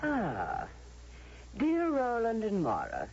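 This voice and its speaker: An actor playing a menacing, falsely courteous judge in a radio drama, speaking formally and deliberately. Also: male voice, sits high, wildly swooping pitch, darkish tone, a little hiss or hum, full character voice. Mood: courteous menace. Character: smooth-mannered, menacing judge of a utopian society. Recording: muffled old radio broadcast recording.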